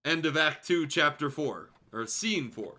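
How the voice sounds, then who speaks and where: A man's voice: a little high, animated, measured pace, sharp tone, slight background noise. A man reading fan fiction aloud as a streamer, in a theatrical, irreverent storytelling style with joking asides.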